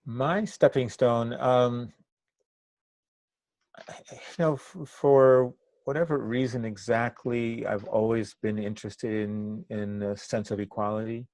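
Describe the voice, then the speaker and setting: An older man from Cuba, a native Spanish speaker, giving reflective interview answers in halting, thoughtful phrases. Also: mid-range voice, fairly even intonation, darkish tone, dry recording. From Cuba, moved to the US at eight. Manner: halting, thoughtful phrasing with pauses mid-sentence. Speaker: interview guest, activist and trainer.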